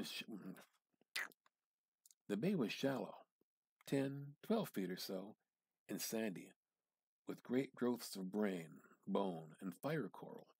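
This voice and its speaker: A man giving an amateur dramatic reading of a literary short story, with expressive, deliberate phrasing that drops at times into a hush. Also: lowish voice, gravelly, husky, no room sound.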